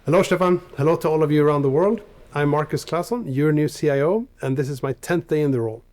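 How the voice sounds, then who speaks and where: A man's voice: on the high side, quick pace, darkish tone, no echo. A man from Sweden, a technology executive giving a polished, structured prepared introduction to colleagues. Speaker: newly appointed chief information officer. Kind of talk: prepared corporate introduction speech.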